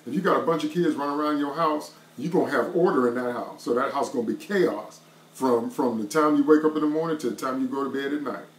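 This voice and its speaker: A man's voice: mid-range voice, fast talker. Middle-aged guy preaching into his webcam, emphatic and repetitive, kinda roomy echo in a small wood room. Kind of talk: online devotional sermon.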